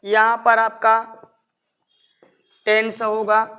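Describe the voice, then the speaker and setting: A man's voice: sits high, monotone, drawn-out words, sharp tone, smooth. A man teaching a grammar lesson, explaining step by step and repeating his points methodically. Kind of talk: explanatory classroom-style lesson.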